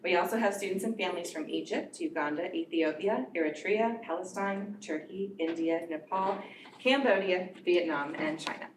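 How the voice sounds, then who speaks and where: A woman teacher reads a prepared speech to a school board, her voice slightly low. She sounds distant from the mic, with a roomy echo and steady background noise over the recording. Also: moderate pace. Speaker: teacher of English learners. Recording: echoey room from far off, noisy.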